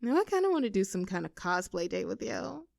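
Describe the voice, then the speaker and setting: Casting: woman to play playful young girlfriend, teasing yet anxious underneath, intimate roleplay audio, mid-pitched, dry close sound. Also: very animated, vocal fry, darkish tone, dry recording. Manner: teasing, halting when earnest.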